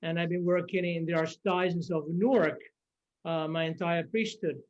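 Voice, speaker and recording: An older man with a Cuban Spanish accent, a bishop giving a formal conference address over a video call, measured with hesitant fillers. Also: on the high side, steady tone, a little mellow. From Cuba. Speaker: auxiliary bishop, conference speaker. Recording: dull lo-fi video call audio.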